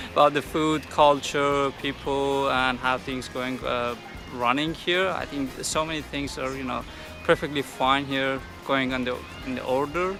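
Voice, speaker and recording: A man's voice: mid-range voice, steady tone, a little slow, music in the background. A young man from Iran, a student giving friendly answers in a casual interview and searching for words. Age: young adult.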